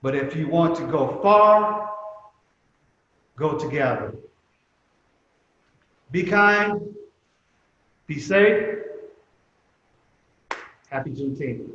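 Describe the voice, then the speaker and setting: Echoey higher-voiced man giving a speech. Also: expressive, drawn-out words, long pauses, a bit bright. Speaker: museum representative giving remarks. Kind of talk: prepared speech at a virtual commemoration. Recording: very echoey reverberant room, muffled, faint noise.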